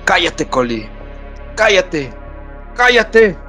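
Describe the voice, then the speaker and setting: A man's voice: sits high, very animated, drawn-out words, bright, forceful tone, faint music behind, full character voice. A man acting a tormented, battle-worn soldier in an audio drama, arguing with himself and barking orders. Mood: agitated, shouting.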